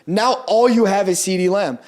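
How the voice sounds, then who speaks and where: A young man whose first language is Portuguese, co-hosting a casual sports podcast, animated and swearing freely as he breaks down his team. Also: high voice, moderate pace. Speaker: podcast co-host.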